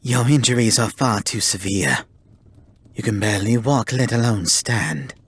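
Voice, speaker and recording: Man as a husky, haughty male fox spirit. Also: on the low side, measured pace. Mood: stern, calm. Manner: formal, theatrical, exasperated scolding. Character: haughty, tsundere male kitsune forest spirit, grudgingly caring. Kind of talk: ASMR roleplay acting, addressing the listener.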